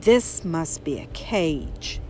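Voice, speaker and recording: A woman's voice: low voice, expressive, drawn-out words, background noise, voice pushed down, heavily acted. A woman voicing a small, curious toy bear full of innocent wonder in a children's storybook. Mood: curious, wistful.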